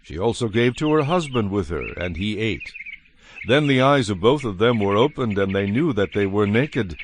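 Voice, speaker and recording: A man narrating dramatized scripture in a solemn, measured manner. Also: fairly low pitch, noisy background. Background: music.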